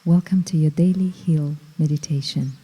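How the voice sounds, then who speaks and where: A woman guiding a meditation in a slow, soft, soothing way, with long pauses between phrases. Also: deep voice, steady tone, slightly rough, in a large hall, poor audio. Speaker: meditation guide. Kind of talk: guided meditation.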